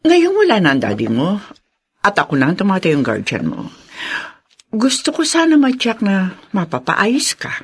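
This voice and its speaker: An actress playing a scheming, indignant middle-aged stepmother in a Filipino radio drama, theatrical and forceful. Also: medium pitch, wildly swooping pitch, normal speed, pauses often, slightly rough, heavily acted. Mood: controlled, bossy.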